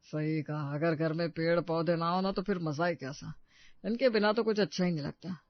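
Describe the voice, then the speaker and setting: A man acts an agreeable fellow chatting in a family story. His voice is notably high for a man, recorded very dry. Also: steady tone, moderate pace, no room sound, muffled recording, lightly acted. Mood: approving.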